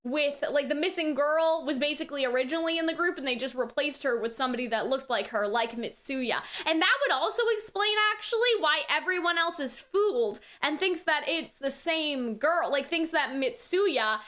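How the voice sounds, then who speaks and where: A woman giving casual, rambling review commentary in an animated, conversational way, often checking in with the listener. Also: high-pitched, moderate pace, few pauses. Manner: animated, conversational, often checking in with "right?" and "you know what I mean?". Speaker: anime reaction and review host.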